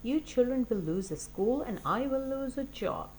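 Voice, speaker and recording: Woman reading as lively mild-mannered schoolmaster. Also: a little high, moderate pace, slight background noise, pitched above the performer's own voice, rough recording. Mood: worried. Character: mild-mannered male schoolteacher and father, scheming cheerfully.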